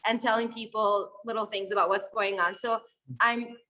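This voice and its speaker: A young woman from Syria, a guest videographer, telling her story in a lively, conversational interview answer with many fillers and restarts. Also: mid-range voice, moderate pace, poor audio.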